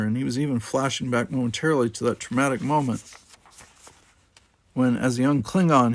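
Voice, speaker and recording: A man's voice: mid-range voice, vocal fry, no echo. A man reading an adventure novel aloud as dramatic, scripted narration. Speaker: audiobook reader.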